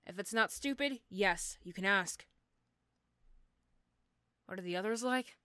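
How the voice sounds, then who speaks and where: A woman's voice: medium pitch, measured pace, sharp tone, smooth, dry recording, voice pushed down. Woman acting snappy, sarcastic female supervillain, dry sound. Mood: dry, guarded. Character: irritable, sarcastic female supervillain, gruffly caring mentor. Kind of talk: roleplay audio drama acting.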